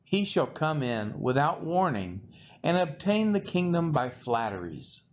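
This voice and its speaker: Slow, expressive man reading scripture. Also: a little high. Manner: measured, deliberate phrasing. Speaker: scripture reader. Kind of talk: solemn read-aloud of scripture. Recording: dull lo-fi recording with faint noise.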